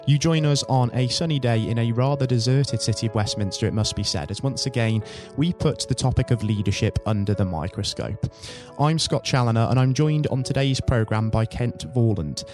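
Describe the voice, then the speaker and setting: A man's voice: medium pitch, normal speed, few pauses, music in the background. A man hosting a podcast, who gives a scripted introduction in a polished, formal presenter manner and then asks interview questions. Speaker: podcast host.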